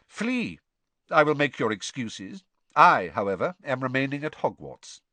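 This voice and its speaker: A man's voice: medium pitch, huge pitch swings, normal speed, a bit bright, no room sound, pitched above the performer's own voice. A man doing a curt, snarling teacher. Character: cold, curt, ill-natured male teacher.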